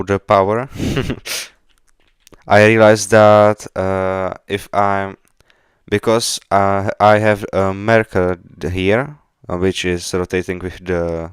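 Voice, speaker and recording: A man from the Czech Republic with a Czech accent, chatting casually on a live coding stream in halting, hesitant non-fluent English. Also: low voice, clean tone, dry recording, clean studio sound. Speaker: game developer live streamer. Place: indoors at a computer, keyboard clicks behind.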